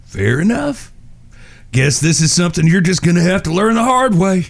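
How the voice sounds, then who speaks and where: Western audio drama lead man acting: watchful elder brother, American, higher-set gravelly voice, firm folksy delivery. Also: sing-song, moderate pace, full character voice. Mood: firm, exasperated. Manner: firm, wry, folksy drawl. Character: stern, watchful gunslinger older brother in charge.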